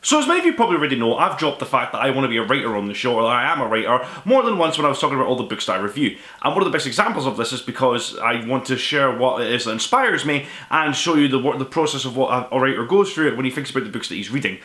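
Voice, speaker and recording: Male vlog host, young adult, casual and self-deprecating, brisk delivery with a bright edge, informal review style. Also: medium pitch, sing-song. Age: 20s to 30s. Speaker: book review show host. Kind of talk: casual book review to camera. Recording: echoey room.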